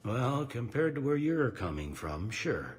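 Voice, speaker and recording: A man's voice: mid-range voice, very animated, unhurried. A man playing a weary, candid middle-aged police commander in a video game, plain-spoken and wry. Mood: wry, matter-of-fact.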